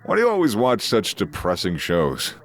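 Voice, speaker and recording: A man's voice: fairly low pitch, huge pitch swings, normal speed. An actor playing a laid-back, teasing young soldier talking with his grandfather in an audio drama. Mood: casual. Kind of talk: audio drama narration and dialogue.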